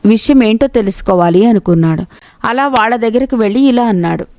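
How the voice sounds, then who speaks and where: A woman's voice: a little high, lively intonation, brisk, a little mellow, no room sound, clean studio sound. A woman reading a scripted moral story as its narrator.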